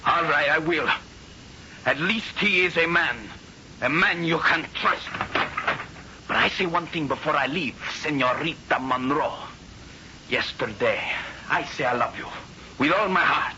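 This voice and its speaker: A man's voice: a little high, measured pace, background noise, close to an everyday voice. An actor playing a passionate young Spanish man with a Spanish accent, speaking intense broken English in a 1970s radio drama. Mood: wounded. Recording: muffled old radio broadcast.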